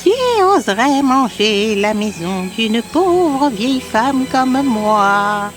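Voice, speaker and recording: Slow, high, swooping old witch. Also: animated, music in the background. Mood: wounded, menacing. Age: older. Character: sly old witch luring hungry children. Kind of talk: fairy tale cartoon voice acting.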